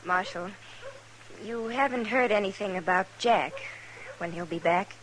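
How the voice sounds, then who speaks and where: An actress playing a polite, earnest young American newcomer to a frontier town in an old radio western. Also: female voice, mid-range voice, unhurried, fairly bright tone, lightly acted, poor audio. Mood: wistful, polite. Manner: proper, demure. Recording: hissy old-time radio recording.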